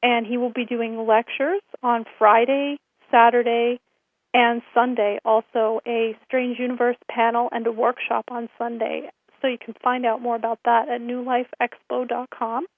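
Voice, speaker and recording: Hoarse woman hosting on a phone line. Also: on the high side, little pitch movement, moderate pace, no room sound. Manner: friendly, filler-heavy announcements. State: hoarse from a cold. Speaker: host. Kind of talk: radio show hosting. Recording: thin phone-line sound.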